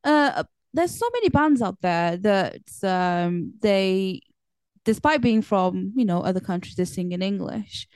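A woman talks in an easy, rambling way, filling her pauses with ums and likes. Her voice is smooth and clear at an even middle pitch. The sound is close and dry, with no room around it. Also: no echo. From Portugal. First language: Portuguese. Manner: chatty, meandering, lots of fillers. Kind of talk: casual chat.

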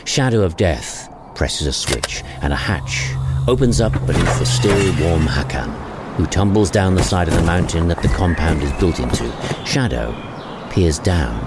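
Very deep, animated male drama narrator. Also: moderate pace. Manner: wry storytelling. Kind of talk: scripted audio drama narration. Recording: clearly noisy ambient bed behind voice.

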